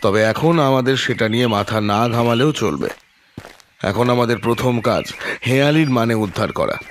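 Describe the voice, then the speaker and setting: A man playing a calm, shrewd detective in an audio drama, measured and musing as he quietly probes. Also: medium pitch, a little hiss or hum, natural voice. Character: calm, shrewd male detective, probing and thoughtful.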